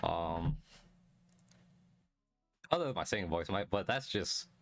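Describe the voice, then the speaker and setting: Deep voiced young guy rambling to himself on stream, calm and low energy, lots of ums and restarts, right up on the mic. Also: faint music behind. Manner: rambling, halting, many fillers and restarts. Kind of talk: casual solo chat stream. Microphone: close desk mic.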